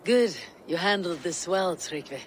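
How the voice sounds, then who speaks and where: An actor playing a steady, loyal Viking warrior and clan leader, calm and direct, in a video game. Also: male voice, high voice, a little slow, slight background noise. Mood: calm, resolute. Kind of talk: dramatic video game cutscene acting. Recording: music behind the voice.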